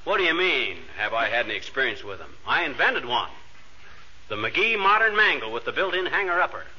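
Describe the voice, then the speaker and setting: An actor playing a restless, boastful middle-aged husband, folksy and blustering, in 1940s radio comedy. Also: male voice, mid-range voice, sing-song, a little fast, pushed and bright, slightly rough, heavily acted. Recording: muffled old radio recording.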